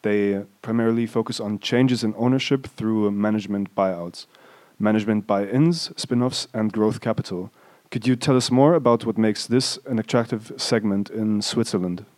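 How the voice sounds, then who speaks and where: A male host reading a prepared introduction and asking interview questions in a smooth, scripted way. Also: on the low side, fairly even intonation, moderate pace, a little mellow, no room sound.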